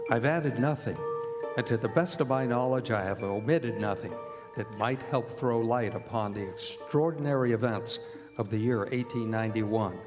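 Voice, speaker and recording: A man's voice: medium pitch, close to an everyday voice. Earnest doctor in a reverberant hall male actor. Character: earnest male doctor, grave witness and narrator. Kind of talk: stage radio drama acting. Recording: reverberant theatre hall, music behind.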